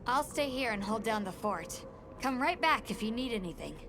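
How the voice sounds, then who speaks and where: An actress playing a friendly, casual support member of a hunting team in a video game, speaking conversationally. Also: female voice, fairly high pitch, expressive, normal speed, noisy background. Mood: helpful. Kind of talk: video game cutscene acting. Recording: music under the voice.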